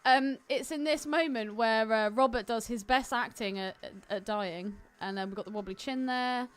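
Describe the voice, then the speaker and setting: A woman's voice: on the high side, normal speed, smooth. A British woman co-hosting a podcast in casual, sweary, jokey film chat. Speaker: podcast co-host.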